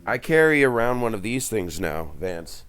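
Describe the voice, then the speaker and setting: A man's voice: fairly low pitch, very animated, normal speed, fairly bright tone, clear, resonant tone. A man in his late thirties, a guest on a remote interview, talking in a rambling, hesitant way with many ums, over a headset. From Canada's Maritime provinces. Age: 30s. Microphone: headset on a call.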